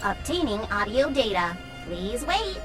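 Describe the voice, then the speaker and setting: Slow, formal robot gatekeeper. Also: female voice, on the high side, sing-song. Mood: impassive, procedural. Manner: formal, measured, mechanical phrasing. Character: dutiful procedural robot gatekeeper in a sci-fi game. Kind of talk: game voice acting. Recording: faint music behind.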